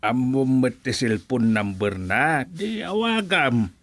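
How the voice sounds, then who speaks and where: A man's voice: mid-range voice, lively intonation, slow, dry recording. An actor playing an easygoing, kindly older father in a radio drama, with hesitant murmurs and an Ilocano Filipino accent. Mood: mild, easygoing.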